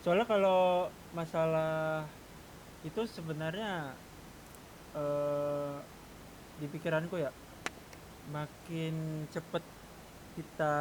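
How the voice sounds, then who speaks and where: A man leading a casual group discussion in an online game, talking informally. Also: on the high side. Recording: hissy gamer voice chat.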